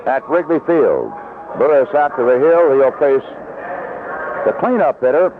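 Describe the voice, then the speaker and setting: A man's voice: mid-range voice, lively intonation, unhurried. A man calling a baseball game live as a play-by-play announcer, in 1970s radio commentary style from a ballpark. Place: outdoor ballpark broadcast booth. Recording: lo-fi vintage AM radio broadcast, heavy noise. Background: loud stadium noise.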